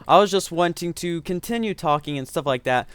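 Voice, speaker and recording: A man's voice: fairly high pitch, brisk, a bit bright, clear, resonant tone, dry recording. A man giving rambling, self-correcting let's-play commentary, his voice strained from getting sick. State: voice strained from illness. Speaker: gaming commentator.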